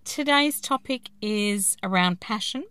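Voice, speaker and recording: Woman, podcast host, thoughtful, mid pitch, dry sound. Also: animated, measured pace, bright, forceful tone, smooth, no room sound. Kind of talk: reflective podcast introduction.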